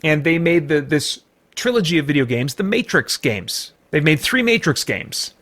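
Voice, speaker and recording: Rambling man, slightly high voice. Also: very animated, a bit bright. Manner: rambling, emphatic, halting with repeated false starts. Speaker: podcast host and film reviewer. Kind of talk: unscripted movie review commentary.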